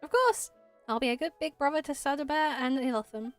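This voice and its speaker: Woman as an earnest, high-voiced little village boy. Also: a little fast, soft background music, natural voice. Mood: eager. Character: earnest young village boy, hesitant and innocent.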